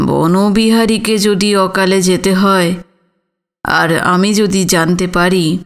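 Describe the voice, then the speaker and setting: An elderly village physician played by a woman in an audio story, speaking in a lowered voice. He is grave and gentle, pausing heavily between short phrases at a very slow pace, with sorrow and tenderness beneath his words. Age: older.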